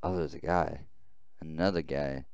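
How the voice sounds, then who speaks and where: A man's voice: very deep voice, steady tone, normal speed, a little mellow, muffled recording. A man doing casual let's play commentary as a gaming host, reacting aloud as he plays. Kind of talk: let's play commentary, reading visual novel text aloud. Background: game music.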